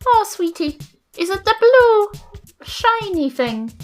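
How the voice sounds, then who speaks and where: A woman's voice: extremely high pitch, big pitch swings, darkish tone, soft background music, pitched above the performer's own voice, exaggerated voice. A woman voicing a sweet, doting elderly granny who coos endearments in a fantasy tabletop game. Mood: cooing. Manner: cooing, drawn-out endearments.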